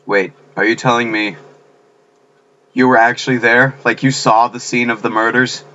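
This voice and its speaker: A man's voice: mid-range voice, fairly even intonation, normal speed, bright, forceful tone, lo-fi sound, a little hiss or hum, close to an everyday voice. A man voicing an alarmed, blunt teenage schoolboy in a game, incredulous and hesitant.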